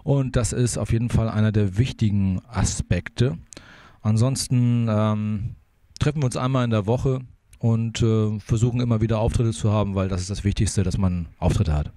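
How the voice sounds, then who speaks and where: A man in his fifties from Hamburg, a band leader explaining his band in relaxed, rambling talk with many fillers, outdoors on a handheld mic. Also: lowish voice, moderate pace, no room sound, clean studio sound. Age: 50s. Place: outdoor city square.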